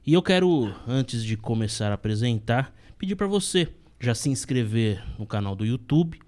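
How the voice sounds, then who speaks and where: Brief: young man, podcast host, mid-pitched, upbeat and promotional. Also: animated, normal speed, a little mellow. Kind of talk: casual podcast hosting.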